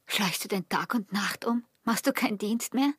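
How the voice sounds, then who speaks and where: A woman's voice: mid-range voice, normal speed, fairly bright tone, breathy edge. A woman acting an embittered, yearning peasant wife in a radio drama, with an Austrian accent and an intense delivery that often drops to a whisper. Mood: anguished longing.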